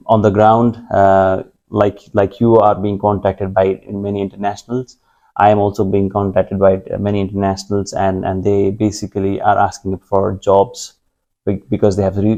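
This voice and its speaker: Gravelly, low-voiced man from Nepal. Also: monotone, normal speed, warm tone, lo-fi sound. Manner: hesitant, many fillers and restarts. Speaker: guest. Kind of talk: conversational interview.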